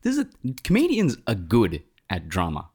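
Young male podcast co-host with a very low voice, loose and rambling, swears casually. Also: wildly swooping pitch, moderate pace, darkish tone, husky. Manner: rambling, swears freely, many fillers. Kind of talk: casual film review chat.

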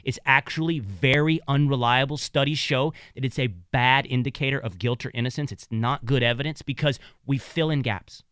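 A man in his thirties co-hosting a radio talk show, speaking in an animated way and restarting his sentences often. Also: medium pitch, normal speed, few pauses, clean tone, no room sound, studio quality. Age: 30s. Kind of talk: casual talk radio discussion. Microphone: studio microphone.

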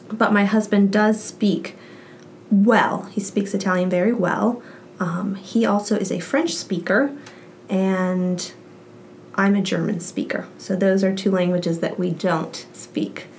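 Mom on a podcast, talks in quick lively bursts, faint hiss in the background. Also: female voice, mid-range voice. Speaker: guest, parent. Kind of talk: casual interview chat.

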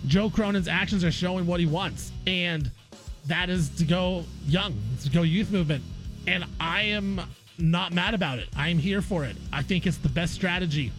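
A man's voice: high voice, normal speed, fairly bright tone, faint music behind. A man hosting a sports talk show, delivering an emphatic, argumentative opinion monologue and repeating phrases for effect. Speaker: sports radio host.

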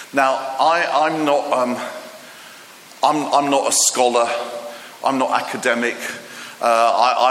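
A Greek-born man preaching a sermon, retelling stories in a lively, animated way with many restarts and fillers. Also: medium pitch, a little hiss or hum. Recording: echoey hall with long reverb, faint ambience.

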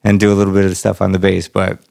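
Low-voiced man hosting a podcast. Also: monotone, fast talker, vocal fry, darkish tone. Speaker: podcast host and bass player. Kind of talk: casual podcast interview and introduction. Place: music recording studio.

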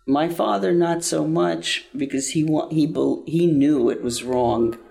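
Older male guest, gravelly and mellow, earnest, halting. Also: fairly high pitch. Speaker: interview guest, nonprofit founder. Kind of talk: interview answers, personal account.

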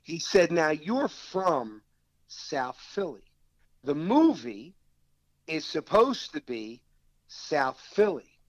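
Animated man with a South Philly accent. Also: a little high, slow pace, dry recording, rough recording. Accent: South Philadelphia. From South Philadelphia. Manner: animated anecdote-telling, quoting others in dialogue. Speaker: actor guest. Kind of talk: casual storytelling interview.

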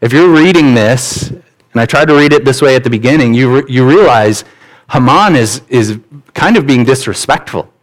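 A man's voice: mid-range voice, lively intonation, normal speed, poor audio. A man preaching a sermon as pastor, earnest and conversational, often restarting his phrases. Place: church.

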